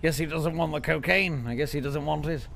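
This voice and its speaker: Man acting cranky old crook, high gravelly voice, rambling, brazen, game roleplay, faint noise behind. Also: fairly even intonation, fairly quick, a bit bright. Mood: cagey.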